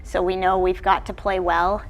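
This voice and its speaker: A woman's voice: on the low side, flat delivery, normal speed, rough recording. A woman coach answering as a guest in an interview chat. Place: studio set.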